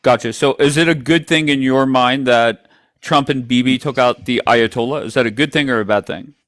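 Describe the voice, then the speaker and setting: A man hosting a live stream who grills his guest bluntly, swears freely and makes sarcastic remarks. His voice sits at an ordinary mid pitch. The recording is dry, with no room echo. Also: a little fast, fairly bright tone. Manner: blunt, profane, interrupting, sarcastic. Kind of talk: confrontational call-in talk.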